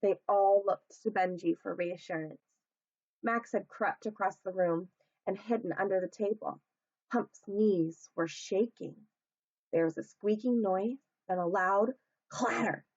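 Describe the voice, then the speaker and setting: Female read-aloud narrator for children's picture books, unhurried, very expressive, close dry sound. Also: medium pitch, husky, no room sound, lo-fi sound. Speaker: teacher reading aloud.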